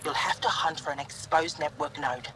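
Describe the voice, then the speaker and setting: A man's voice: on the high side, normal speed, sharp tone, slight background noise, poor audio. A man playing a weary but courteous alien researcher in a video game.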